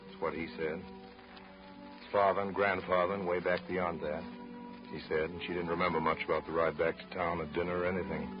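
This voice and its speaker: A man's voice: deep voice, flat delivery, normal speed, natural voice. A man narrating a 1930s radio drama as a reflective storyteller. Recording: dull old radio broadcast with music. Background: music.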